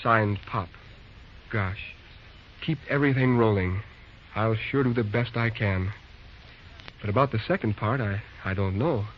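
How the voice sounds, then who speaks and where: An actor playing a brisk, earnest young railroad superintendent in a 1930s radio drama. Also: male voice, lowish voice, lightly acted. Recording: dull noisy old radio recording.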